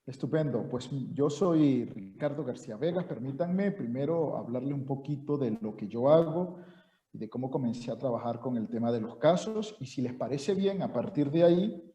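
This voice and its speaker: Venezuelan man, middle-aged lecturer; very dark tone, muffled video-call sound. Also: medium pitch, expressive. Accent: Venezuelan Spanish. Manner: measured, formal, many "eh" fillers. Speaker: university lecturer. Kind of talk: online seminar talk. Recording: dull echoey video-call audio.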